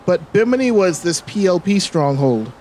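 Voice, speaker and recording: A man's voice: high-pitched, sing-song, moderate pace, a little mellow, a little hiss or hum. A man in his forties with a Bahamian accent mixed with American, a filmmaker talking reflectively as an interview guest. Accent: Bahamian with American mix. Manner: reflective, many fillers and restarts. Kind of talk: casual interview talk.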